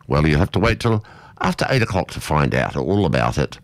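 A man's voice: a bass voice, very animated, brisk, no echo, clean studio sound. An Australian man with a big, strong voice presenting local talk radio in a chatty, playfully theatrical way.

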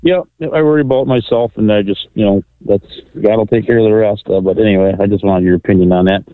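A man's voice: fairly low pitch, quick pace, dry recording. A man calling in to a radio show, speaking hesitantly and trailing off mid-phrase. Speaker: caller. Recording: tinny phone line.

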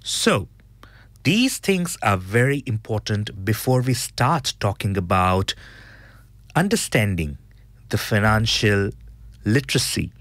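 Hoarse man with a lively, dry voice. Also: on the low side, slow pace, pauses often, dry recording. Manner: earnest, explanatory, reads out statistics with emphasis. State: hoarse, recovering from losing his voice. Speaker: radio host. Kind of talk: spoken talk show segment.

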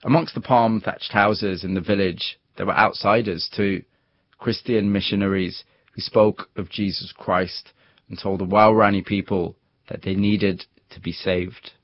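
Male radio host, lowish voice, calm scripted narration, muffled dry studio audio. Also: no echo. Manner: measured, storytelling. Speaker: radio interviewer and presenter. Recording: dull muffled broadcast audio.

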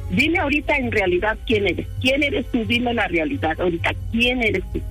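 A woman's voice: on the high side, expressive, normal speed, a bit bright, slightly rough, soft background music. Insistent, scolding Mexican woman. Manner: insistent, scolding, repeating questions. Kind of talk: confrontational phone questioning.

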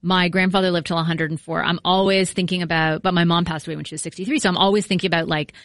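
A woman's voice: fairly low pitch, steady tone, fast talker, a bit bright, dry recording, studio quality. A woman hosting a casual chat, talking in a personal, chatty way with lots of fillers. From Greek heritage. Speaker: host.

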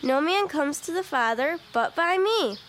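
Woman playing an earnest little girl, very high, bright. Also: animated, unhurried, slight background noise. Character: earnest, devout young girl, eager and kind.

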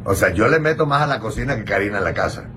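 A man's voice: fairly low pitch, animated, fast talker, pushed and bright, a little hiss or hum. Puerto Rican man rambling with restarts. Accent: Puerto Rican Spanish. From Puerto Rico. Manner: rambling, many restarts and fillers. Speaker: interview guest. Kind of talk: casual chat.

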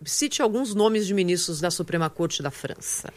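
Lively Brazilian woman news commentator. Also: lowish voice, moderate pace. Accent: Brazilian Portuguese. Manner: emphatic, lively, laughs often. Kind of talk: opinion commentary.

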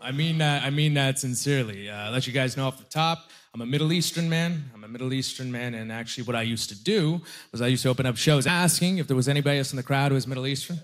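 A young man doing a stand-up comedy set on a club stage with a handheld mic. His first language is Aramaic, and he talks with loud energy, often shouting the punchlines. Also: mid-range voice, fast, hardly any pauses. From Canada (Nova Scotia).

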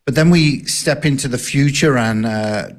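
A man's voice: mid-range voice, moderate pace. A man with a noticeable non-local accent, speaking as a ministry director guest in an earnest, casual radio interview. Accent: accent not native to the area. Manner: earnest, with frequent fillers and restarts.

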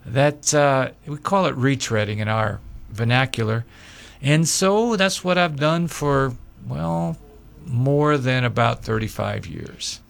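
Older male candidate, slow deliberate interview storyteller, mid-pitched voice. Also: vocal fry. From Pennsylvania, USA. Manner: slow, measured storytelling with filler pauses. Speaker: local candidate. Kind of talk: interview answers.

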